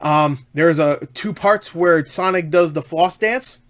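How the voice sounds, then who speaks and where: A man's voice: fairly high pitch, slow, fairly bright tone. A man giving a casual, unscripted film review in an enthusiastic, emphatic, rambling way, often repeating himself.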